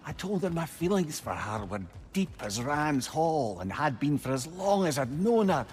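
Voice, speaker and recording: A man's voice: a little high, sing-song, moderate pace, background noise. An actor playing a wry, reflective old male companion in a video game, talking in an eloquent, confiding storyteller's way. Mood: wistful. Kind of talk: dramatic video game acting.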